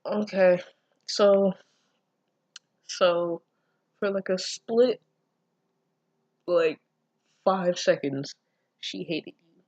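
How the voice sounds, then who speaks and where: A woman's voice: fairly low pitch, very slow, pauses often, darkish tone, dry recording, highs cut off. A woman reading and commenting on fan fiction in a casual, comedic way, sweary, sardonic and exasperated, stumbling over her words. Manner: breaks into laughter and stammers.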